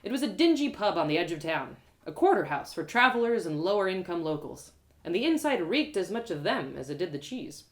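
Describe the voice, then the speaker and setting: A woman reading a first-person fantasy story aloud as a live storyteller, expressive and with dramatic pauses. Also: on the low side.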